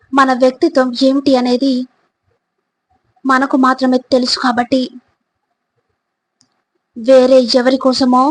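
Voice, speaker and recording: A woman narrates scripted motivational reflections in a high voice. She goes slowly and deliberately, setting out each short phrase by itself. Her intonation stays very even from phrase to phrase. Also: rough recording. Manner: deliberate, phrase-by-phrase delivery with rhetorical questions.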